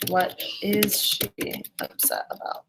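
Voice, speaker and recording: A woman's voice: lowish voice, huge pitch swings, slow, creaky, heavy background noise. A woman teacher leading an interactive online class, encouraging students and checking their understanding with questions. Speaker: middle school English teacher.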